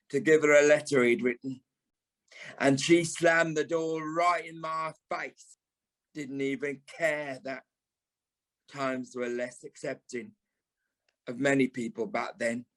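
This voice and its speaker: An actor playing a sentimental, camp middle-aged British performer in an audio play, with a theatrical, affectionate manner. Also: male voice, medium pitch, lightly acted. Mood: wistful, resigned. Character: sentimental, camp older male performer, wry and fond.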